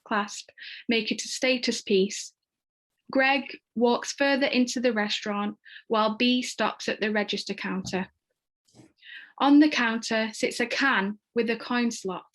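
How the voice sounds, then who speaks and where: A woman with a fairly high voice reads screenplay action for a table read. Her delivery is scripted and descriptive, moving through scene headings and stage directions.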